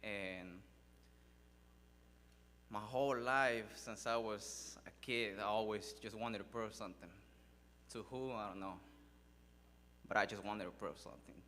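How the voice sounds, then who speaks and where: A man speaks slowly and haltingly, pausing often and filling the gaps. His voice sits a little low and carries a long hall echo over a faint hum. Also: steady tone, bright, forceful tone. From Mexico. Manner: halting, with many fillers and pauses. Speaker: speaker sharing his life story. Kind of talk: personal testimony speech. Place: large hall at a public event.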